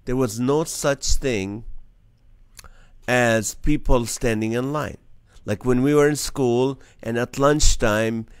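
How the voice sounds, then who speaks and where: A man's voice: medium pitch, takes it slowly, smooth, no echo. A man who grew up in the Middle East, hosting a casual live-stream comedy chat and laughing between his jokes. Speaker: comedian host.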